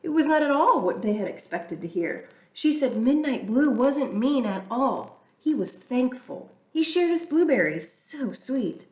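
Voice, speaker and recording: A woman reading a children's picture book aloud in an expressive, storytelling way. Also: high voice, unhurried, hardly any pauses. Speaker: church reader. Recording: echoey room, mic far off.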